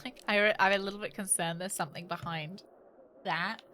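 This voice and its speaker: A British woman with a bright, forward voice chats casually as she streams a game. She is jokey and sarcastic, swears freely, and keeps her pitch in a middle range. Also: steady tone, a little fast, slight background noise. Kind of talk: casual livestream chat while playing a game.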